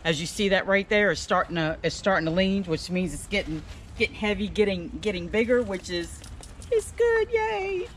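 Older American female, medium pitch, playful casual vlogger, light outdoor noise. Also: very animated, moderate pace. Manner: playful, self-deprecating. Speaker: vlogger showing her home garden. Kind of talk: casual chat to camera. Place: outdoors in a fenced backyard. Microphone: handheld camera at arm's length. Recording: clearly noisy outdoor ambience.

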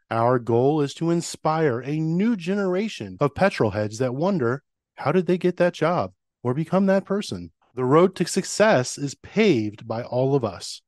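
A man narrates a scripted podcast intro. His voice sits at a medium pitch, recorded close and dry with no room sound. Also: a little mellow, no echo.

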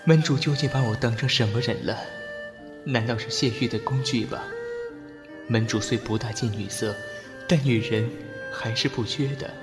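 Period audio drama: subdued, deferential male general played by a man, medium pitch, long reverb. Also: normal speed, natural voice. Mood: sorrowful. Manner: hesitant, deferential. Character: reserved, dutiful, melancholy male general of ancient China. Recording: long reverb, music under dialogue.

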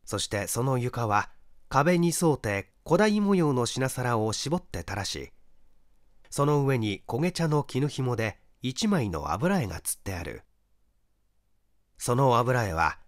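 Expressive male mystery narrator, widely varied pitch. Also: unhurried, no room sound, clean studio sound. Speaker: story reader, stage actor. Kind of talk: dramatic audiobook narration of a mystery.

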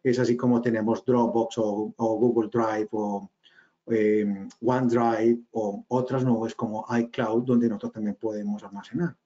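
Colombian man with a mellow, dull-sounding voice. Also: on the low side, level tone, unhurried. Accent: Colombian regional. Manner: hesitant, many fillers and restarts. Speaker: webinar speaker, company cofounder. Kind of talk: webinar presentation. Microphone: video call webcam. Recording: slightly dull video call audio.

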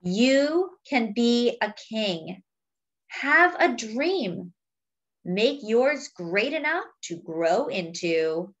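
A woman reading a picture book aloud to young children in a deliberate, teacherly way, adding simple explanations as she goes. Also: a little high, big pitch swings, a bit bright, muffled recording. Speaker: children's read-aloud storyteller.

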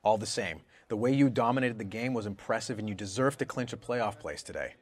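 An actor playing a brisk, polite sports interviewer in a video game post-match interview. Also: male voice, lowish voice, natural voice. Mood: polite, congratulatory.

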